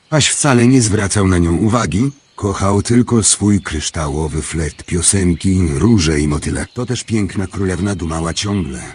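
An actor playing a grand male clock master who tells fairy tales in an audio drama, with a husky voice. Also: sits low, slight background noise. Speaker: storyteller.

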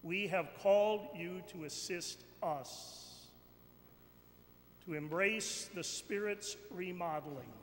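A middle-aged or older man preaching a sermon from a church pulpit in a deliberate, declamatory manner, repeating phrases for emphasis. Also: fairly high pitch. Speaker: bishop preaching. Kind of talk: sermon, slow and emphatic with pauses. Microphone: pulpit microphone. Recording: echoey church hall, background noise.

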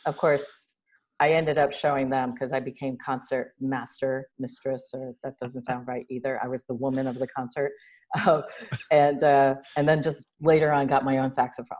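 A middle-aged woman from New City, a guest on a video call, telling stories in a lively, animated way. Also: a bass voice, normal speed. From New York City. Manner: animated storyteller, gestures a lot, laughs. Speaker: guest, social entrepreneur. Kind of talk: casual interview chat. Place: home room indoors. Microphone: video call webcam.

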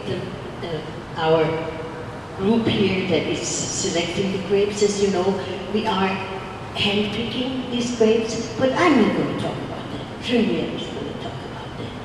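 A woman's voice: mid-range voice, a little slow, recorded from a distance, background noise. Older woman speaking through a PA in an echoey hall. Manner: rambling, warm-hearted, repeats and restarts words. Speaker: winery host giving a welcome speech. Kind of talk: informal welcome speech into a handheld mic. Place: large winery production hall with steel equipment, indoors. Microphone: handheld microphone through a PA.

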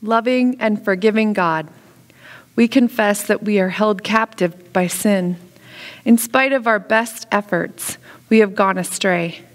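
A middle-aged woman pastor leading confession and prayer in a measured, solemn way. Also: medium pitch, big-room sound. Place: church sanctuary, indoors.

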